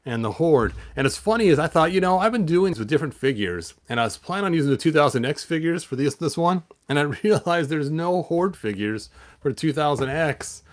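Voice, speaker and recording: A man's voice: on the high side, animated, fairly quick. A man hosting a toy collector channel, chatting in a rambling way with many fillers and restarts, then reading a picture book aloud.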